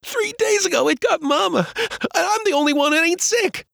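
A man's voice: sing-song, brisk, a bit bright, slightly rough, dry recording, heavily acted. A man voicing a frightened young frontier boy who pleads through tears. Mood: tearful, frightened. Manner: high, pleading, breaking with tears.

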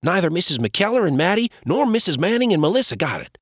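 A man's voice: sing-song, quick pace, fairly bright tone, breathy edge, no echo, studio quality, heavily acted. A man voicing a frightened young frontier boy who pleads through tears. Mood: anxious. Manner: high, pleading, breaking with tears.

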